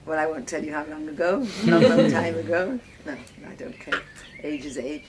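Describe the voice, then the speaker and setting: An older woman from Wales telling her life story casually, reminiscing in a halting, conversational way. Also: low voice. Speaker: host of guest lodging, farmer's wife. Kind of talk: casual personal storytelling to guests. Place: informal talk to visitors, filmed by a guest. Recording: noisy ambient home video.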